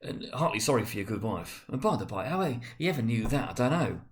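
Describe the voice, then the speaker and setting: A man's voice: mid-range voice, sing-song, close to an everyday voice. Guy doing sad eager dad from a storybook, stammers a bit, voice swoops around a lot. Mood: eager, admiring. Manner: halting, stammering with repeated words. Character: Bob Cratchit, tender, grieving, eager working-class father. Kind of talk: dramatic storybook reading.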